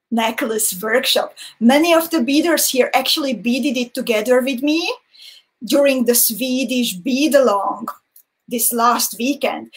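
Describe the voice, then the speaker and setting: A woman from Slovakia with a Hungarian accent leads a live beading lesson in English, which is not her first language. Her voice sits a little high, and she speaks slowly and warmly, laughing often and greeting viewers by name. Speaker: beading instructor. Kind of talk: live-stream tutorial.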